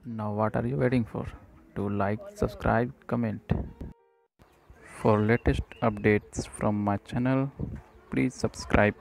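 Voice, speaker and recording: Man, low-pitched, very flat intonation, tutorial presenter, halting phrases, music behind. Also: vocal fry, low-quality recording. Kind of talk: scripted software demo narration. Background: music playing behind the voice.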